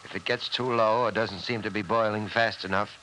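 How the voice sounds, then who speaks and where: An actor playing a calm, practical middle-aged father in a 1950s radio drama. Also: on the low side, a little fast, rough recording. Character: calm, practical father protecting his family. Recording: vintage radio recording, faint noise.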